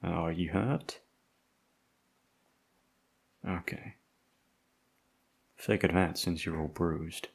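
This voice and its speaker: A man playing a tough, protective, foul-mouthed bodyguard with a husky voice in an ASMR audio roleplay. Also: low-pitched, heavily acted. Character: tough protective male bodyguard, foul-mouthed, tender toward his charge.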